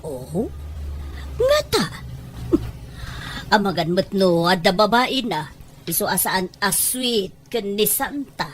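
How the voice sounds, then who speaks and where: A woman's voice: mid-range voice, very animated, slow pace, pauses often. A woman with an Ilocano Filipino accent acting a happy, boastful older mother in a homespun audio drama. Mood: teasing, probing. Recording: faint background noise.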